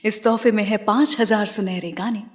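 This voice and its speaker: A woman's voice: mid-range voice, fairly even intonation, moderate pace, breathy edge, big-room sound. A woman hosting a radio show, presenting and telling stories in a narrated style. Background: music.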